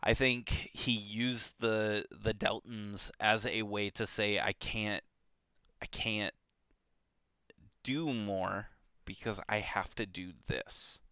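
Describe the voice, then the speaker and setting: A man co-hosting a book club podcast, talking in a relaxed, halting way with many fillers and restarts. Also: lowish voice, smooth, no echo. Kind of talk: casual book discussion.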